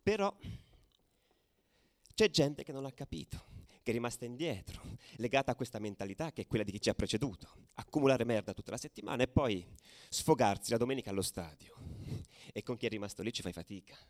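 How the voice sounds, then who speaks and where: An actor playing a hardened, streetwise football ultra, delivering an intense, colloquial radio drama monologue in a gravelly voice. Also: male voice, mid-range voice, animated, brisk, natural voice. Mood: heated, insistent. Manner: colloquial, brooding, swinging between murmur and agitated outburst. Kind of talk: theatrical radio monologue, narrating.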